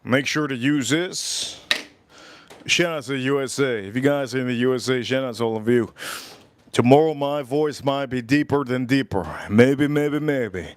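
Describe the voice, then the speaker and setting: A man hosting a casual livestream from Florida, animated as he shouts out viewers, and a little sick. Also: medium pitch, normal speed, a little hiss or hum. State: getting sick. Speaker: livestream host.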